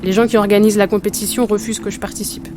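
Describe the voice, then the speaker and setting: A woman's voice: medium pitch, level tone, very fast, noisy background, lightly acted. A woman acting as a discouraged young runner in a fiction podcast, speaking in a frank, colloquial and earnest way.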